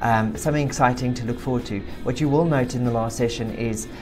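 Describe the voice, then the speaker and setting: A South African woman with a very deep voice narrates a spiritual update, reading transcripts aloud in an emphatic way. Music plays clearly behind her. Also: fairly even intonation, fast talker. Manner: emphatic, forceful when reading the client. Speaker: video host, spiritual practitioner. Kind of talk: narration read from session transcripts.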